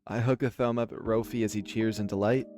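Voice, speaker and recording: A man reading expressive first-person narration from a visual novel, with music behind him. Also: fairly low pitch, fast talker.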